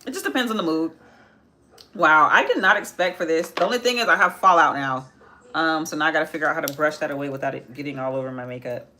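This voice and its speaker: Brief: twenties woman, live makeup stream host, low bright voice, chatty, faint room hiss. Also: expressive, quick pace. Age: 20s. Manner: chatty, answers viewer comments while working, repeats phrases. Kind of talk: casual live makeup tutorial, chatting with viewers.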